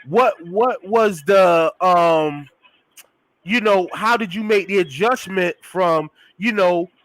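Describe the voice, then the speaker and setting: Higher-voiced man hosting a casual interview. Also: slow, a bit bright, no echo, low-quality recording. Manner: conversational, punctuated with "you know what I'm saying". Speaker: host interviewing a guest.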